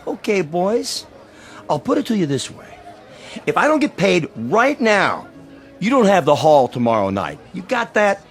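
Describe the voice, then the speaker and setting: An actor playing a gruff, impatient landlord in a radio drama, blunt, streetwise and pushy. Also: male voice, sits high, big pitch swings, unhurried, faint music behind, full character voice. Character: gruff, impatient hall landlord demanding rent.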